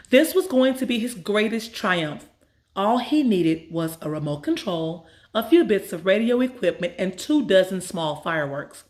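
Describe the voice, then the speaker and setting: A woman reading a children's story aloud as a storyteller, with measured, expressive phrasing and dramatic emphasis. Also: mid-range voice. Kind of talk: expressive scripted story narration.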